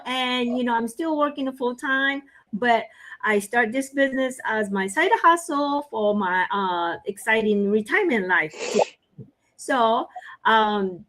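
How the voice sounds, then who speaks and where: Japanese lady podcast cohost, accented, slightly high voice, gushy and upbeat casual chat. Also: a bit bright, poor audio. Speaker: podcast co-host. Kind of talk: casual self-introduction and chat.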